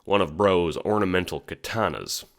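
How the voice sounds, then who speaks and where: A man's voice: sits low, lively intonation, normal speed. A man narrating a second-person audio drama in a sardonic, sweary manner.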